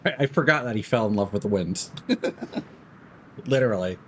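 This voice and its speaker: A man's voice: lowish voice, expressive, normal speed, a bit bright, a little hiss or hum. A man streaming a video game with casual, spoken-as-he-plays commentary. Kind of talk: casual live gameplay commentary. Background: game music.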